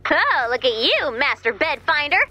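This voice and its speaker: A woman voicing a cheerful, chatty, excitable robot helper in a video game, with a perky, quirky delivery. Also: sits high, normal speed, soft background music, full character voice. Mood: chatty, encouraging. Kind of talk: video game mission dialogue.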